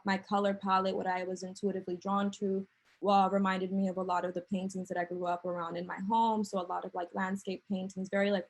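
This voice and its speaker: A young woman on an artists' panel, speaking reflectively with frequent fillers. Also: medium pitch. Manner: reflective, lots of likes and ums. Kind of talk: casual panel talk.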